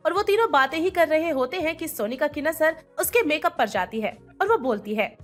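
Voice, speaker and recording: High, lively woman narrator. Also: normal speed, soft background music. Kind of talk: scripted animated story narration.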